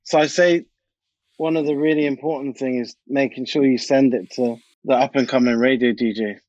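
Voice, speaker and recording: A man's voice: mid-range voice, steady tone, normal speed, darkish tone, dry recording. British man chatting, dull dry recording. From United Kingdom. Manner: informal, colloquial, anecdotal. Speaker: interview guest, DJ and music producer. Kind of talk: casual interview chat.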